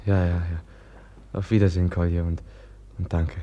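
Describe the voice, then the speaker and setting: An actor playing a gentle, bewildered, earnest prince in a classic radio drama, with a mild and hesitant manner. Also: male voice, sits low, little pitch movement, moderate pace, dull audio, natural voice. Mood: puzzled, mild.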